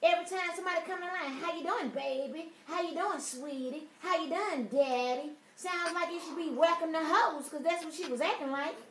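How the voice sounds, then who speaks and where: A woman's voice: very high voice, brisk, hardly any pauses, a bit bright. A woman playing an aggrieved, easily offended customer, rambling and swearing through a prank phone complaint. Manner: indignant, rambling, repetitive, foul-mouthed. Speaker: prank caller. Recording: roomy, distant, noisy phone prank recording.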